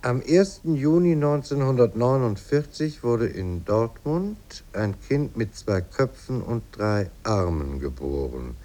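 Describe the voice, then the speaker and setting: A man reading formal scripted narration in a measured, sober mid-century radio manner. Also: medium pitch, sing-song, warm tone.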